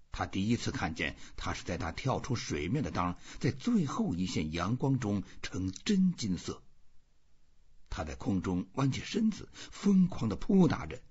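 Husky, very low-voiced man reading a novel. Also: a little mellow, muffled recording. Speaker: audiobook reader. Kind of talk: scripted narration of a literary novel.

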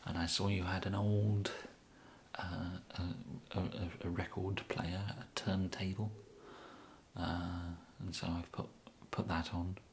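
A young man with an English accent whispering an ASMR roleplay as an awkward, polite kidnapper, halting and apologetic, close to the microphone. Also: low-pitched, vocal fry. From England. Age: young adult. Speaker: ASMR performer. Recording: steady background hiss.

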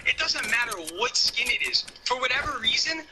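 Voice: high-pitched, big pitch swings, fairly quick, background noise. A voice bragging in a mocking rap. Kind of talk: boastful rap over music.

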